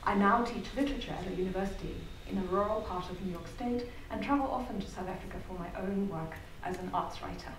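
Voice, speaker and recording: A middle-aged woman gives a measured, articulate talk with the odd wry aside. Her voice sits at an ordinary pitch and carries from a distance. It echoes around a big theatre, with a faint hum of room noise underneath. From Zambia. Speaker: speaker giving a talk. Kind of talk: prepared public talk. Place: theatre hall. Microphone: venue sound picked up from the back of the hall. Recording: far-off roomy hall capture.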